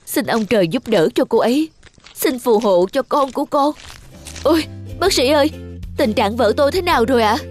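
A woman's voice: sits high, animated, normal speed, soft background music. A woman voicing an anxious, devoted young husband in a cartoon melodrama. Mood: pleading, desperate.

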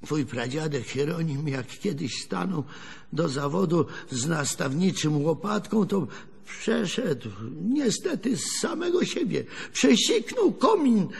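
A folksy old grandfather in a radio play, spinning wry, wistful tales in rustic speech. His voice is husky and creaky, with an unhurried delivery. It rings in a long reverberant space. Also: on the high side, very animated, exaggerated voice. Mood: reflective, rueful. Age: older. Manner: rambling folksy storytelling, chuckling, rustic colloquial speech. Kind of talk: radio drama acting.

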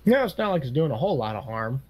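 A man's voice: mid-range voice, very animated, fast talker, natural voice. A man playing an easygoing farm equipment dealership salesman in casual, rambling improvised game role-play. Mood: casual, businesslike.

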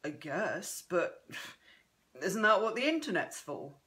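A woman's voice: on the low side, very animated, takes it slowly, pushed and bright, full character voice. A woman voicing a cheeky teenage grandson in an audiobook, with a casual, boyish manner. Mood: casual, concerned.

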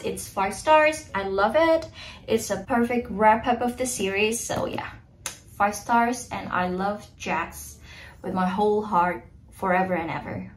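A woman's voice: mid-range voice, a bit bright, slight background noise. A young woman in her twenties whose first language is Indonesian, chatting to viewers in an opinionated book review vlog from her bedroom. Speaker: book vlogger. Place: bedroom in front of a bookshelf, indoors.

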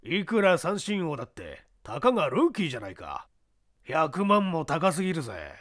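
Man acting a decisive, animated company president. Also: fairly high pitch, normal speed, exaggerated voice. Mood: dismissive.

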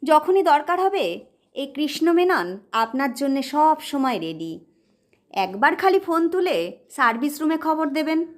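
An eager male hotel attendant in an audio story, voiced by a woman, speaks in a high, lively voice. He is servile and obliging, offering his service with deference. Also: measured pace. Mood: grateful, eager.

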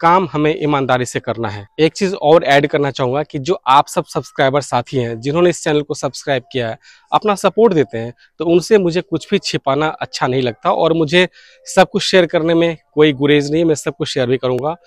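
A man's voice: a little high, a little fast, no echo. A man in his thirties talking earnestly and casually to his viewers, explaining things and mixing in English words. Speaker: radio hobbyist video blogger. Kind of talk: casual explanatory vlog to camera. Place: open balcony or rooftop outdoors beside fields. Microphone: clip-on lapel mic.

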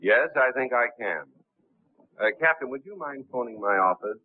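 An actor playing a suave, unflappable gentleman impostor in a 1930s film, speaking politely and smoothly. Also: medium pitch, animated, normal speed, natural voice. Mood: smoothly unruffled. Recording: muffled, tinny 1930s film soundtrack.

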